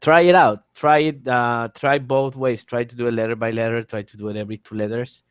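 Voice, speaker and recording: Male online tutor, mid-pitched voice, informal and explanatory, dry recording. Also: fairly even intonation, fairly quick, clean tone, no echo. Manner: explanatory, repeats phrases and spells letters aloud. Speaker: English teacher, exam tutor. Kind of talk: informal online exam lesson. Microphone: online call microphone.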